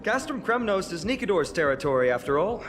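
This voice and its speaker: A man playing an earnest, genial young warrior guide in a video game, gentle and thoughtful. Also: high-pitched, very animated, brisk. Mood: earnest, concerned. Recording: game music underneath. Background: game music.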